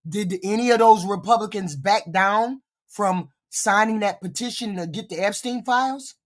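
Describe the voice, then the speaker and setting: A streamer giving blunt, profane live reaction commentary, with a voice deepened by a cold and short of breath. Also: moderate pace.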